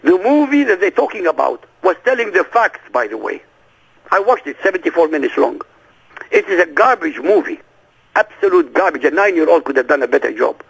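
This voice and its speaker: Man, Arabic native speaker, interview guest, emphatic, rhetorical questions, high voice, gravelly, husky. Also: a bit bright, poor audio. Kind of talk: radio interview.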